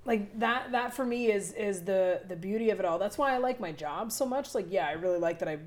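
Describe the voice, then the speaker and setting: Brash, frank woman chatting. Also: mid-range voice. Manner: frank, heavy swearing, quick laughs. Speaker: podcast guest. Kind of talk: candid casual chat.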